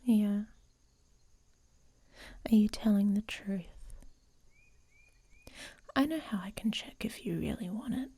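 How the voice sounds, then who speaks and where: A woman playing a dominant, teasing female werewolf in an intimate ASMR audio roleplay, with a breathy, whispery voice and a commanding manner. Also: mid-range voice, lively intonation, takes it slowly, pauses often, dark tone, heavily acted. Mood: seductive, commanding.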